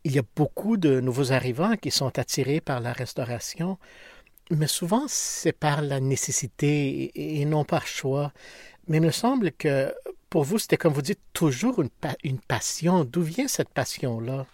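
An interviewer asking calm, formal questions and addressing the guest politely. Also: mid-range voice, normal speed, dry recording, clean studio sound.

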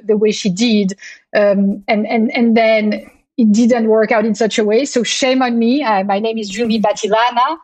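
A woman's voice: a little high, darkish tone, no echo, low-quality recording. Woman in her 50s, dry sound. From France. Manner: thoughtful, hesitant, restarts phrases. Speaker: guest author. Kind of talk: casual interview chat.

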